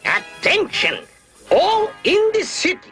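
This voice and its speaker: An actor playing a pompous, menacing underwater scientist in a 1970s TV cartoon, grandiose and commanding. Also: male voice, very high-pitched, huge pitch swings, slow, bright, forceful tone, faint music behind, full character voice. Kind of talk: 1970s TV cartoon villain acting.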